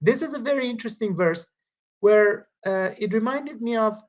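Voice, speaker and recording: A man, a Farsi speaker, reading verses and commenting on them in a study group over a video call, halting with frequent pauses and restarts. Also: high-pitched, dull audio. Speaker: Quran study presenter.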